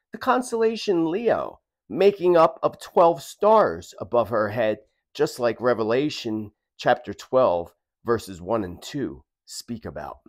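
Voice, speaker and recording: A man hosting a video, narrating prophecy in a dramatic, emphatic, theatrical way. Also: mid-range voice. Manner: emphatic, theatrical, rhetorical questions. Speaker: video host and self-described predictor.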